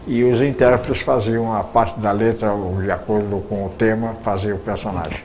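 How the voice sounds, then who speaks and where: A man's voice: on the low side, fairly even intonation, normal speed, darkish tone, slight background noise. An older man, recalling his years in radio drama in an interview, often stumbling and repeating words. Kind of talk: reminiscing interview answers.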